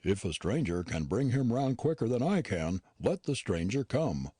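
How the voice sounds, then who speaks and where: A curt, disdainful gentleman dentist, scornful and impatient, as a male narrator reads him. The voice sits low and husky. It rises and falls a great deal. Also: big pitch swings, moderate pace, dry recording, clean studio sound.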